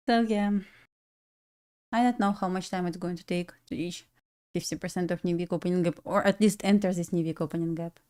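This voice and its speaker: A woman's voice: lowish voice, fairly even intonation, moderate pace, pauses often, warm tone, smooth. A young woman from Belarus, a native Russian speaker with a Russian accent, chatting casually and laughing while she day trades on a live stream. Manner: chatty, laughs often, talks to herself.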